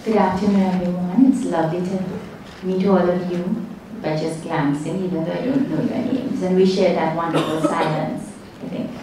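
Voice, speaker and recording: A woman with a Trinidadian accent, a meditation teacher speaking on a panel in a soft, reflective way with many pauses. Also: fairly low pitch, steady tone. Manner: soft-spoken, reflective, halting with fillers. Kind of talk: panel talk. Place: conference room with a panel table. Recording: very echoey room, distant mic, faint hiss.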